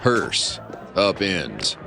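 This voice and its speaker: Guy doing a super deep pompous pulp narrator for a comedy audio drama, slow and theatrical, music under it. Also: sing-song, gravelly, exaggerated voice. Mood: dramatic, wry. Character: grandiose, deadpan pulp adventure narrator. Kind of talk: mock-heroic scripted audio drama narration.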